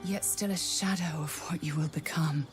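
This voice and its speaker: An actress playing a mysterious, seductive woman who visits dreams, coaxing softly and intimately in a video game. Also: deep voice, steady tone, moderate pace, over music, close to an everyday voice. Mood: seductive, soothing. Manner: breathy, coaxing, intimate, often whispering. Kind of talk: video game dialogue acting.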